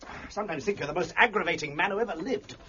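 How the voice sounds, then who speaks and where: An actor playing an honest, easily exasperated police inspector in an audio drama, earnest and flustered. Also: male voice, high voice, quick pace, background noise, natural voice. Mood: exasperated, astonished. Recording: dull, muffled audio.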